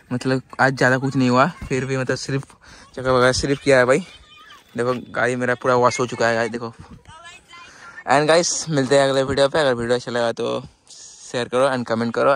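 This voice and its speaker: A man's voice: medium pitch, flat delivery, moderate pace, a bit bright. A young man narrating a casual motovlog while riding outdoors. He talks in a chatty way, often repeating "guys" and "matlab" as fillers. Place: outdoors on dirt tracks beside a pond. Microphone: phone or action camera on the bike. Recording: wind and motorcycle engine noise.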